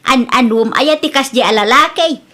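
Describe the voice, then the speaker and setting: A protective, scolding mother with a high, sharp voice and an Ilocano Filipino accent , played by a woman. She lectures her grown daughter in a radio comedy drama style. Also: normal speed. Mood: scolding, disapproving.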